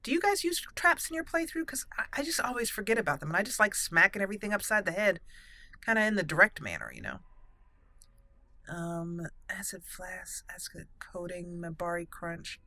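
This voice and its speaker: Female let's play host. Slightly low, crisp bright tone, chatty and casual, recorded dry. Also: big pitch swings, normal speed, no room sound. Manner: chatty, thinking aloud. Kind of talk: casual roleplay game commentary.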